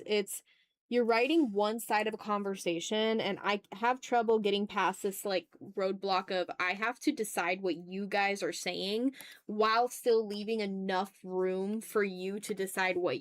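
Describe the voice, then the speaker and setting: A woman's voice: mid-range voice, dry recording. A woman chatting casually as she draws on a livestream, teasing her viewers with playful mock exasperation. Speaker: art streamer. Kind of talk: casual livestream chat while drawing.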